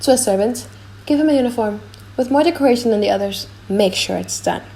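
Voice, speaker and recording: A well-mannered young gentleman acted by a woman in an audiobook play. The delivery is polite and authoritative, in a highish voice with a creaky edge. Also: quick pace, close to an everyday voice, low-quality recording. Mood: gracious, commanding. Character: courteous, measured young gentleman master, giving orders. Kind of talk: audiobook drama reading.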